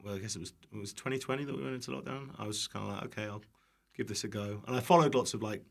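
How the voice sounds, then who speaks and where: A young man talks as a guest on a podcast. His voice is middling in pitch. His delivery is casual and conversational, and he tends to put himself down. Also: racing, gravelly, a bit bright. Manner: self-deprecating. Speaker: podcast guest, online cooking creator. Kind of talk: casual chat.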